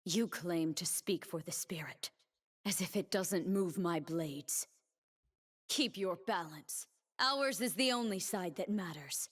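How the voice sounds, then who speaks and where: A woman's voice: lowish voice, slow, a bit bright, husky, exaggerated voice. A woman playing a proud, stern young warrior who defends her homeland, with a solemn, defiant, cutting delivery for a video game. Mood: stern, scornful. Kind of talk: video game character voice lines.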